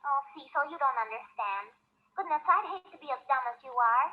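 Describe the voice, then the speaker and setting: An actress playing a young, exasperated, pleading sweetheart in a theatrical 1930s radio comedy. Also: female voice, high-pitched, lively intonation, a little fast, a little hiss or hum. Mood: coaxing, annoyed. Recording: tinny, band-limited 1930s radio recording.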